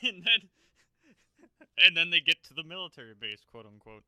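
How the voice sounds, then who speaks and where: A man with a fairly high, sharply bright voice talks in a dry, echo-free sound as a podcast co-host. He discusses a film casually, bluntly and argumentatively, swearing as he goes. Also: very animated, moderate pace.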